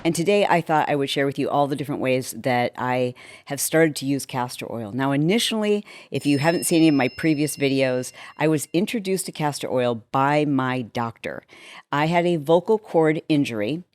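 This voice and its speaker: A woman in her fifties with a deepened, slightly hoarse voice, chatting casually and candidly to viewers as a health show host explaining her routine. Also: normal speed, darkish tone. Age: 50s. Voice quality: deepened, slightly hoarse voice from a vocal cord injury. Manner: candid, conversational, with acted-out asides.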